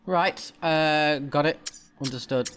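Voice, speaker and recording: A man's voice: a little high, takes it slowly, background noise. A man giving casual commentary as he plays a game, reacting and reading text aloud. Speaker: let's play host.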